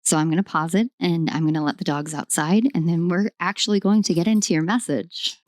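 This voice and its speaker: A hoarse young woman giving a casual tarot reading at home, rambling and affectionate, with many ums. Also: lowish voice, fairly quick. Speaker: tarot reader. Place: at home in a room.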